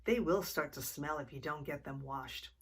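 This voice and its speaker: A woman's voice: deep voice, moderate pace, breathy edge. A woman voicing a patient, coaxing mother in a children's storybook.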